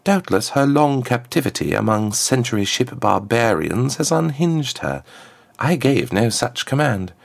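A man's voice: medium pitch, animated, measured pace, voice pushed up. A man voicing a smug, formal woman ambassador in a story, with silky menace in a lifted voice. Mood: dismissive. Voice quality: smooth, lifted contralto. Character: smug, haughty, athletic woman ambassador and villain.

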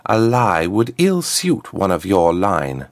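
A man's voice: sits low, huge pitch swings, takes it slowly, voice pushed up, full character voice. A man voicing a smug, formal woman ambassador in a story, with silky menace in a lifted voice. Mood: coolly accusing. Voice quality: smooth, lifted contralto. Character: smug, haughty, athletic woman ambassador and villain.

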